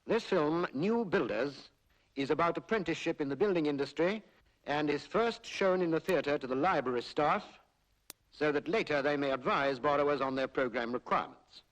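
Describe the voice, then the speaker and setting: 1940s British male documentary narrator, higher-pitched. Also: rough recording. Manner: formal, measured explanatory delivery. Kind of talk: 1940s public information film narration. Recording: 1940s film soundtrack.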